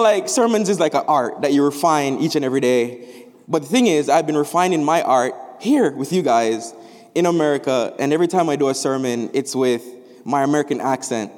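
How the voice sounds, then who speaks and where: A young man from Jamaica, a student preacher, giving an animated chapel sermon with rising, emphatic phrases. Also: a little high. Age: young adult. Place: large chapel. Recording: echoey chapel hall.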